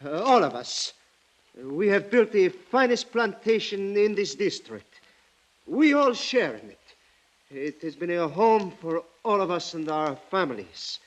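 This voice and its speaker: A man's voice: sits high, sing-song, measured pace, low-quality recording. An actor playing a loyal, earnest plantation foreman, hesitant and deferential, in an old-time radio drama. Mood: anxious, respectful. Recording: vintage radio recording.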